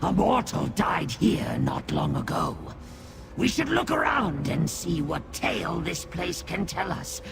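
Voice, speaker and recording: A man voicing a small sly rat-like creature in a fantasy video game, raspy and nasal, fawning and snide in a theatrical way. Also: fairly high pitch, heavily acted. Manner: theatrical drawn-out phrasing, fawning to master. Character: small sly male Daedrat creature, servile, snide, vengeful.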